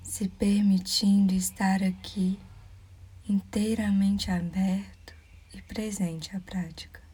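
A Brazilian woman leading a guided meditation in a soft, breathy near-whisper, calm and soothing, leaving measured pauses. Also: mid-range voice.

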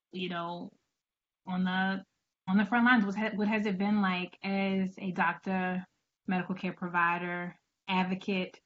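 A woman's voice: medium pitch, dull audio. A woman hosting a conversational interview in an enthusiastic, encouraging manner. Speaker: show host.

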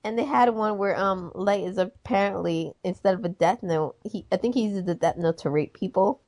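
A woman's voice: medium pitch, normal speed, a little mellow. A woman in her twenties chatting casually, rambling through stories and giggling often. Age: 20s. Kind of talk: casual chat.

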